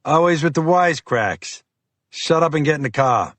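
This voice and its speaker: A brusque, mocking FBI agent with a Boston accent, as a male narrator puts him on, gruff and impatient. He talks in a raised, higher, pushy register. The recording is dry and close. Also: expressive, moderate pace, fairly bright tone, no echo. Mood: scornful.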